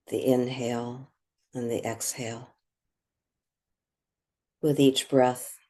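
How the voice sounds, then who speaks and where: This is a guided meditation voice, sitting at a middling pitch. The delivery is slow and measured, with long pauses. It stays soothing and contemplative, gently leading the listener along.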